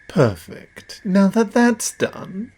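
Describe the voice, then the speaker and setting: A man's voice: sits high, sing-song, very slow, a little mellow, a little hiss or hum, heavily acted. A man playing a cocky, condescending, powerful demon who makes deals, performed as a theatrical audio roleplay spoken straight to the listener. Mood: amused, smug.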